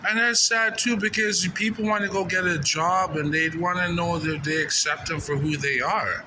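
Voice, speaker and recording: Male street interviewer, higher register, earnest and repetitive, recorded amid noticeable city traffic. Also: fairly even intonation, normal speed. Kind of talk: informal street interview and personal commentary.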